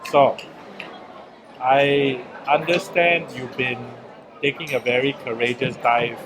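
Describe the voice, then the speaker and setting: A man's voice: mid-range voice, steady tone, takes it slowly. Singaporean man interviewing in a noisy food court. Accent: Singaporean English. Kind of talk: casual interview chat.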